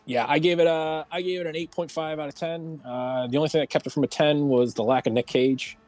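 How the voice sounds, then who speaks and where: A man's voice: a little high, steady tone, fairly quick, a little mellow, soft background music. A man hosting a casual livestreamed round table talk show.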